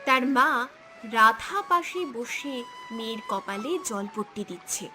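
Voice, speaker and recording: A woman's voice: fairly high pitch, animated, slow, sharp tone. A woman narrating a scripted cartoon story in a dramatic storytelling style.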